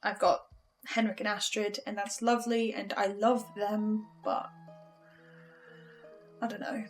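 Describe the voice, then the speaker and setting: A woman's voice: mid-range voice, level tone, unhurried, natural voice. A woman playing an anxious, awkward archivist in an improvised horror drama, hesitant and often stammering or cutting herself off. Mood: wistful. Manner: hesitant, stammering, self-interrupting. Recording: music behind the voice. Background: music.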